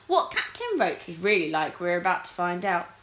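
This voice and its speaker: A woman's voice: fairly low pitch, big pitch swings, normal speed, a bit bright. A woman narrating a children's storybook expressively, like a teacher reading aloud. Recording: echoey room.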